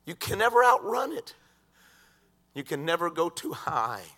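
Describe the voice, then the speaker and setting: A man preaching a sermon in a church, swinging from quiet talk to shouted crescendos. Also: on the high side, big pitch swings, a little slow.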